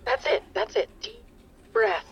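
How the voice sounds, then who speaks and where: A woman's voice: a little high, very animated, measured pace, highs cut off. A woman playing an anxious, fragile, soothing wife in an audio drama.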